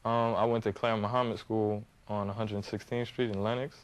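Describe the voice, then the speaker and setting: A man in his twenties from Harlem, answering interview questions hesitantly, with many pauses and fillers. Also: on the low side, level tone. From Harlem, New York. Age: 20s. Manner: hesitant, many ums and you-knows, soft-spoken. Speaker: guest, young entrepreneur.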